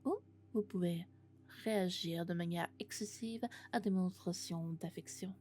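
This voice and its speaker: A woman playing a lovesick, possessive female robot in an ASMR roleplay, speaking in a hushed, breathy, intimate whisper. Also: on the low side, moderate pace, soft background music, lightly acted. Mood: insistent, possessive.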